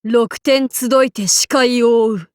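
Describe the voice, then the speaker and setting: A woman's voice: a little high, slow pace, darkish tone, no echo, studio quality. A woman voicing a boisterous female demon king warlord in a Japanese anime game, speaking in a haughty, archaic, theatrical way. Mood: solemn, grandiose. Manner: haughty old-fashioned lordly speech, theatrical battle cries.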